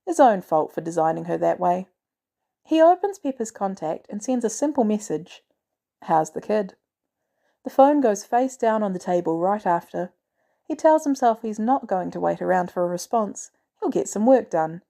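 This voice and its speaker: Mellow-voiced woman reading a story. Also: medium pitch, lively intonation, moderate pace, creaky. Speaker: fan fiction podfic reader. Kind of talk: scripted story narration.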